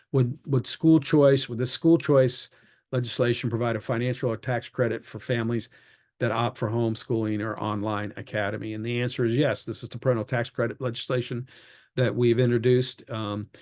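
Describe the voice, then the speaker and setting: A man's voice: mid-range voice, darkish tone. A man leading an online meeting, giving a conversational update and answering questions with many fillers and restarts. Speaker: advocacy group leader.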